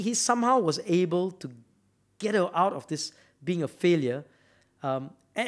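A man from Malaysia giving a motivational talk, emphatic and often repeating words as he builds up to a point. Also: a little high. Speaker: speaker.

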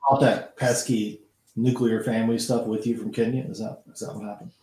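A man's voice: fairly low pitch, flat delivery, brisk, warm tone. A man co-hosting a casual podcast conversation, asking probing questions and cutting in to steer the talk. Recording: roomy, faint background noise.

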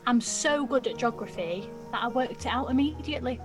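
A woman's voice: a little high, fairly quick, over music, close to an everyday voice. A woman playing a cheeky, mouthy nineteen-year-old apprentice in a naturalistic audio drama, flippant and slangy. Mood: cheeky, sarcastic. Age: young adult.

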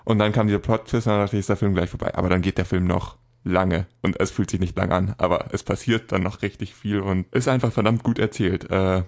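A man's voice: low-pitched, fairly even intonation, fairly quick, few pauses, a little mellow. A young man in casual podcast chat, reviewing a film with rambling, self-correcting, emphatic talk. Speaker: podcast co-host.

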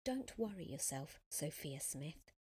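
A woman voicing a famous young man in an audiobook, a cool, teasing and gently world-weary heartthrob. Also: medium pitch, lively intonation, moderate pace. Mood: quietly amused. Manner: cool, quiet, intrigued.

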